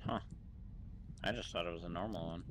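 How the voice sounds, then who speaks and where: A man's voice: deep voice, brisk, close to an everyday voice. A man playing an easygoing, laconic sniper in his late twenties, answering hesitantly in an improvised game roleplay. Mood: laid-back. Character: easygoing, laconic 27-year-old male sci-fi sniper. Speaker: Star Wars roleplay player. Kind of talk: improvised in-character gaming chat. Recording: noisy gaming voice chat.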